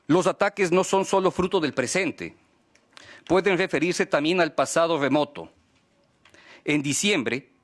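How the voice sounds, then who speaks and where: Male, voice a little higher than average. Advocate delivering a written statement formally. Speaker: press freedom advocate speaking at a human rights hearing. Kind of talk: formal prepared statement, read aloud.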